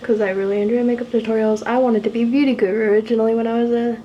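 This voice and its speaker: A young woman with a low, husky voice chatting casually while doing her makeup, self-mocking and swearing offhandedly. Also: little pitch movement, very fast, mellow, reverberant, highs cut off, a little hiss or hum. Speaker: YouTuber doing a makeup tutorial. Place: apartment room.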